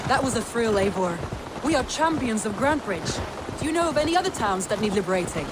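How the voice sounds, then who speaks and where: A woman's voice: fairly high pitch, expressive, a little fast, close to an everyday voice. Casting: woman plays playful Norse clan woman, teasing and thrill-seeking, game drama, heavy ambient noise behind. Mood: excited, playful. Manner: teasing, mock-formal. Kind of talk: video game dramatic acting. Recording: noisy rain and outdoor ambience behind.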